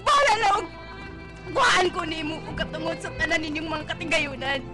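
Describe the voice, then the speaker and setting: A woman's voice: extremely high pitch, animated, fairly quick. A woman with a Filipino accent acting a defiant, lovestruck young daughter in a radio melodrama, tearful and emphatic as she pleads. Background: dramatic music.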